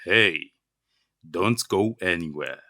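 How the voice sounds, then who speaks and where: A man's voice: low-pitched, slow, pushed and bright, no room sound, studio quality. A man announcing a scripted, dramatic promotional outro for a radio drama.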